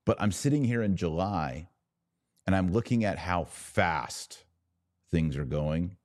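Deep-voiced American man hosting a podcast. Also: expressive, slow pace, a little mellow, dry recording, studio quality. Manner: emphatic, repeats words and phrases for stress. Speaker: podcast host and religious commentator. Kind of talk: live opinion commentary, speaking to viewers.

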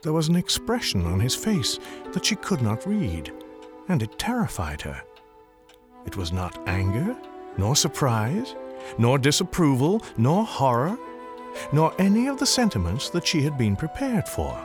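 Animated male storyteller over music. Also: medium pitch, measured pace. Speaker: narrator. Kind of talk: theatrical scripted story narration.

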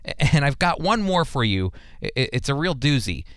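An American man teaches the Bible as a radio host. His voice is clear and smooth, recorded close and dry in a studio. He speaks conversationally but earnestly, leaning on key words like a preacher. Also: medium pitch, sing-song, moderate pace, no echo. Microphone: close studio microphone.

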